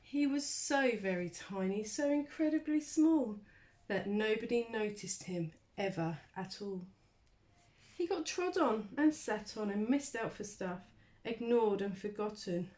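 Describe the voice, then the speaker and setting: Slow-paced woman reading a children's story. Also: mid-range voice. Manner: expressive, with dramatic pauses and emphasis. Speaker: primary school teacher reading a story. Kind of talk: children's storybook narration, read aloud.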